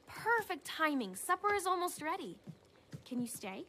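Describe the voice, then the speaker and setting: A woman voicing a kind, responsible teenage elder sister in an animated film, gentle and reassuring, with music underneath. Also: extremely high pitch, moderate pace, slight background noise, full character voice. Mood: welcoming, gently chiding.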